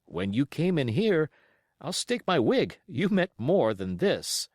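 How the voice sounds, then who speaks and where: A man voicing a genial, shrewd gentleman doctor in an audiobook, courteous and gently wry. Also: fairly high pitch, very animated, takes it slowly, dry recording, clean studio sound, voice pushed up, exaggerated voice. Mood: amused, teasing.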